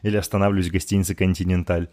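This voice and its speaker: A man from Chelyabinsk, a podcast guest talking in a quick, chatty, joking way. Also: sits low, level tone, a little mellow, no room sound, studio quality. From Chelyabinsk, Russia. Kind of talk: casual interview chat.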